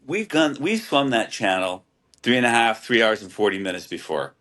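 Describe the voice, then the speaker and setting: A man from Hawaii speaks in a fairly low voice while he recounts his channel swims. He tells them casually, like stories, and often stumbles over repeated words at the start of a thought. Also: lively intonation, normal speed, a bit bright. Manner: anecdotal, storytelling, repeats words. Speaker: podcast guest, channel swimmer. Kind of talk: casual interview chat.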